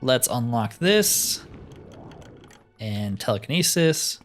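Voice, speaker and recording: A man's voice: medium pitch, very animated, slow pace, soft background music. A man giving casual gameplay commentary in a laid-back way, musing to himself as he plays. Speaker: gaming streamer.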